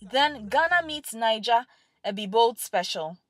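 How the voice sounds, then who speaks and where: A woman's voice: fairly high pitch, lively intonation, measured pace, soft background music. A woman co-hosting a show in a presenter's style. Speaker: show co-host.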